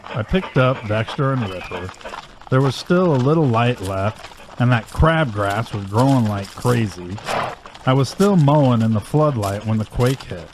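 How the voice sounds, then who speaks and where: A man plays a weary male survivor in an audio drama. His voice sits fairly low, shaken by what he has lived through, over clearly audible noise.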